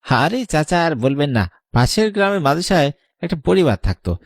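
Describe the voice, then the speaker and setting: A man's voice: a little high, sing-song, brisk, gravelly, no echo, studio quality. A man voicing an earnest, anxious but determined young madrasa student in a horror cartoon.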